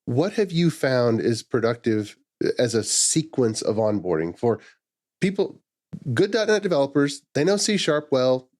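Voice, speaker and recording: A male podcast host with an average-pitched voice. He talks casually, building long questions with asides. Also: big pitch swings, a little slow, a little mellow. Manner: conversational, asks long multi-part questions.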